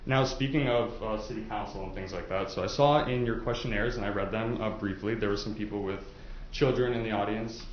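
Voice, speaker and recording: A man's voice: lowish voice, brisk. A man questioning jurors as a lawyer in a courtroom, speaking politely and conversationally with some hesitant phrasing. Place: indoor courtroom. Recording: echoey, dull courtroom audio, faint noise.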